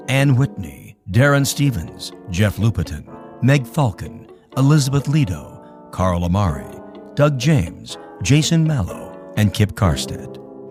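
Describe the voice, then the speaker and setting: A man announcing radio drama credits in a scripted style. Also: lowish voice.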